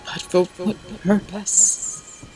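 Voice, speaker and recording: A woman voicing an elderly, gentle grandmother pony turned tortoise, reflective, in an audio drama. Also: mid-range voice, level tone, very slow, over music. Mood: reflective, awed.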